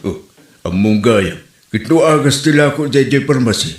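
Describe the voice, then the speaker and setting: A man's voice: mid-range voice, expressive, normal speed, natural voice. A man with an Ilocano accent playing a teasing, mocking villager in a comedy drama. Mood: teasing, amused. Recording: roomy, echoey sound.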